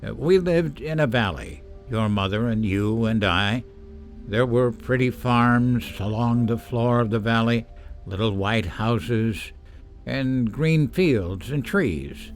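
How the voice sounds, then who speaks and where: A man's voice: mid-range voice, slow, faint music behind. An actor playing an anxious, evasive middle-aged father and war official in a radio drama, speaking hesitantly and with reserve. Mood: weary, reflective.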